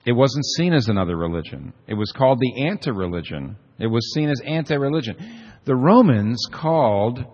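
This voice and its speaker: Hoarse guy preaching, gets emphatic, long hall echo. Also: medium pitch, lively intonation, normal speed, few pauses, a little mellow, dull audio. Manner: emphatic, building to sweeping declarations. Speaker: pastor. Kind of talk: sermon.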